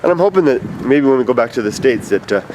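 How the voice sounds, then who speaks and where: A man in his fifties, stuffed up with allergies, leaving a rambling, halting video message full of fillers. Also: medium pitch, expressive. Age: 50s. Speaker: vlogger. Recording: noisy outdoor street recording.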